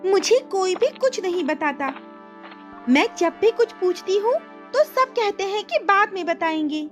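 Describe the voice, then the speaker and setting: A very high, lively little girl, acted by a woman, innocent and inquisitive. She pleads and pouts like a cartoon princess child, with music underneath. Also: a little slow. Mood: sulky. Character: curious seven-year-old princess girl, innocent and pouty. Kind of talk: cartoon moral story acting.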